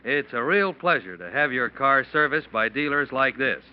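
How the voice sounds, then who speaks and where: A man's voice: medium pitch, expressive, moderate pace. A man giving a formal, earnest scripted testimonial in a 1940s radio commercial. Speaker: customer giving sponsor testimonial. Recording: muffled vintage radio recording.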